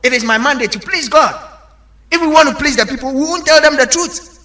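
A man's voice: extremely high pitch, a little fast, bright, forceful tone. A man preaching an evangelical sermon, exhorting emphatically and putting rhetorical questions to his listeners.

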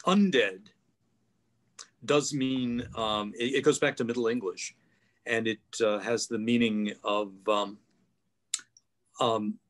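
An older man on a video call with a headset mic, explaining things thoughtfully with many pauses and fillers. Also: mid-range voice. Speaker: guest author. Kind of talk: online panel discussion. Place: home room, video call.

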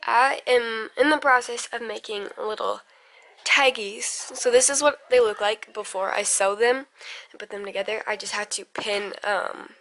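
Bright young American woman chatting casually. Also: a little high, normal speed. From United States. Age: late teens to about twenty. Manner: informal, rambling, chatty. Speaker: vlogger, young mother. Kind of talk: casual family vlog chat. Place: indoors at home. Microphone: handheld camera at arm's length. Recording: noticeable background noise, handheld home recording.

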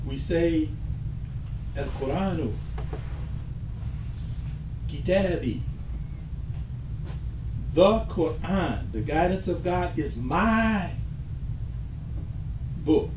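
An older man giving a sermon in a small mosque, slow and deliberate, rising to emphatic calls. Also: a little high, expressive. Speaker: imam. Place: small mosque hall with bookshelves, indoors. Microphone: far camera at the back of the room. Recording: echoey room from far off with hiss.

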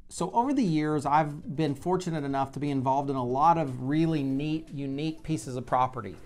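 A man in his forties speaking as a real estate video blog host, explaining in a measured, conversational way with pauses mid-phrase, recorded on a lapel mic in an office. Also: a little high, over music. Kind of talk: explanatory talk to camera. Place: bright office by large windows, indoors.

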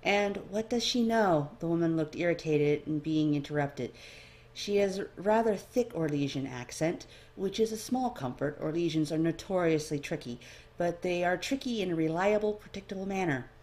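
Lower-pitched woman reading a story. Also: normal speed, mellow. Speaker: amateur fan fiction reader. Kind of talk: second-person story narration, read aloud.

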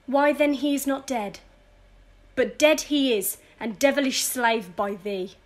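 A woman's voice: high-pitched, a little slow. A woman playing a grieving, furious widow in a theatrical, scornful verse audio drama. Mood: bitter contempt. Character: grieving, furious widow cursing her husband's killer.

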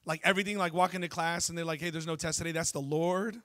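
A man in his thirties preaching a sermon on a church stage into a handheld mic, telling lively stories and asking the congregation questions. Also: a little high, fast talker. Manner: animated storytelling preacher, jokes and asks the crowd questions. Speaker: pastor.

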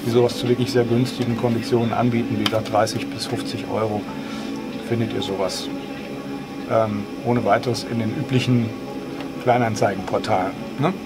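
A man explaining things in a casual, measured, slightly hesitant vlog style. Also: mid-range voice, fairly even intonation, loud music behind the voice, low-quality recording. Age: 50s. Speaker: host of a home cockpit tutorial channel. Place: small home flight simulator cockpit, indoors.

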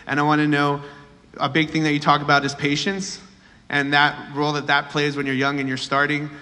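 A young man in the audience asking a speaker questions in a halting, hesitant way. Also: fairly high pitch, completely flat delivery, bright, forceful tone. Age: young adult. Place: large hall with audience. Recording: long hall reverb.

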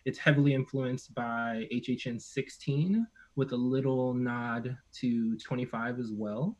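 A man's voice: medium pitch, unhurried. Laid back guy on a video call, lots of ums, easygoing. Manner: relaxed, hesitant with fillers. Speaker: guest, merch creator. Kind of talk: casual video-call chat. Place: home room, video call.

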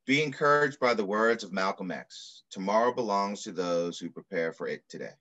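A middle-aged man reading aloud in the style of a children's picture book, with measured, deliberate phrasing. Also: on the low side, expressive, a bit bright. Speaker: pediatrician reading a story aloud. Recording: muffled video call audio.

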